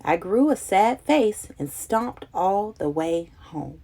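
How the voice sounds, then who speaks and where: A woman reading a children's picture book aloud in an expressive, dramatic, childlike storytelling manner. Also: mid-range voice, takes it slowly.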